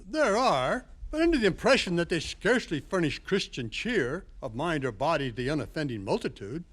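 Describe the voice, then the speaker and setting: An actor playing a polite, earnest gentleman charity collector in an audio drama, courteous and slightly hesitant. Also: fairly high pitch, big pitch swings, a little fast, breathy edge, heavily acted. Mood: earnest, dismayed. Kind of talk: audio theatre acting.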